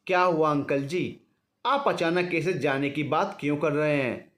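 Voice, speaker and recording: A man's voice: a little high, a little slow, fairly bright tone. A man voicing a caring, respectful young man who helps his elders in an audio story. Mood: hurt, complaining. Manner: respectful, earnest.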